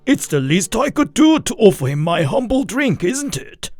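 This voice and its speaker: A man's voice: high-pitched, big pitch swings, normal speed, darkish tone, no room sound, clean studio sound, soft background music, pitched above the performer's own voice. A man voicing a volatile alcoholic father in a dramatized story, raving and fawning. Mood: effusive, fawning.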